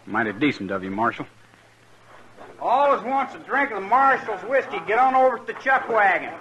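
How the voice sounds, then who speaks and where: An actor playing a folksy, eager cowhand with an in a 1950s radio western. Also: male voice, high-pitched, sing-song, moderate pace, dull audio. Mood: surprised, pleased. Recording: old 1950s radio recording.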